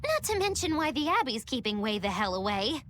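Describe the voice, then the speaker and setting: A woman's voice: sits high, sing-song, brisk, fairly bright tone, slight background noise. An actress playing a flippant, teasing woman with a sardonic delivery in a video game.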